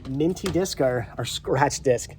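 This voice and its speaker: A man's voice: mid-range voice, measured pace, darkish tone, slight background noise. A middle-aged man with a congested voice chats casually to viewers as a vlog host, rambling and repeating his phrases. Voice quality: congested with a head cold.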